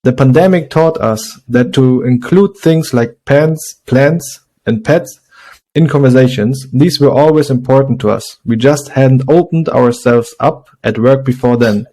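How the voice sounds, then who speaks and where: A man hosting a podcast interview in a casual way, haltingly searching for his words as he talks. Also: mid-range voice, warm tone.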